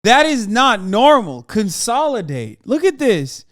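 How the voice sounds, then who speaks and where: A man in his thirties hosting a stream, reacting in a quick, animated way during casual chat. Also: high voice. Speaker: streamer host.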